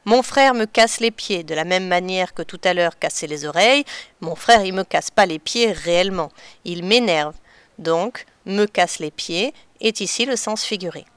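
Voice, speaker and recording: A woman teacher patiently explaining to children in a clear, deliberate way. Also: fairly low pitch, dry recording. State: slightly stuffy from a cold. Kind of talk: children's lesson on figurative expressions.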